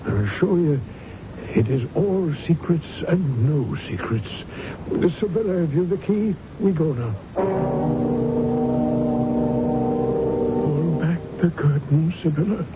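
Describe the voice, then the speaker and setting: Muffled old radio drama, fervent old patriarch, grandiose, slow, declamatory, very lively pitch. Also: male voice, heavy background noise. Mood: agitated, urgent. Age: older. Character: fervent, imperious old father devoted to Renaissance art. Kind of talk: 1970s radio drama acting. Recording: muffled old radio broadcast, music behind.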